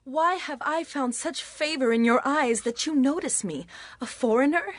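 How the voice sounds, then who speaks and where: Casting: devoted young widow in scripture drama, fairly high voice, earnest and humble, dry recording. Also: female voice, expressive, normal speed, no echo. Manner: earnest, emphatic. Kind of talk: dramatized audio Bible acting.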